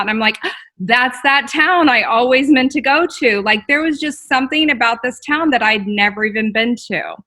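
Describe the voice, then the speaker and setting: A woman's voice: on the high side, sharp tone. A woman in her forties from Texas, chatting as a guest on a video call in a friendly way and laughing often, with filler ums. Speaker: guest, store owner. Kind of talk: casual interview.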